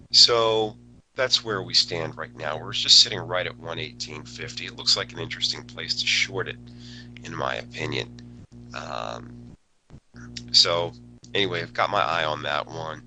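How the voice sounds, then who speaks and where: A man hosting a live trading webinar, talking casually with many fillers, his voice still a little low after a cold. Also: pushed and bright, slight background noise, rough recording. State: recovering from a cold, voice a bit lower. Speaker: forex educator hosting a webinar. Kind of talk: live market analysis webinar.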